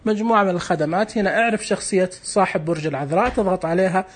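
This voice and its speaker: A man's voice: sits high, rapid-fire, husky. A man casually walking a listener through an app, step by step, in a plain instructive way. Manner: plain, instructive, explains patiently with small restarts. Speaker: app demonstrator.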